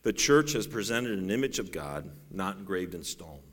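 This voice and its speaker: Deep-voiced man preaching in a reverberant hall. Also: lively intonation, moderate pace. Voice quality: bass voice. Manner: folksy, self-deprecating, builds to raised urgent preaching. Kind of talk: sermon. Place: church sanctuary. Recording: long reverb in a large hall.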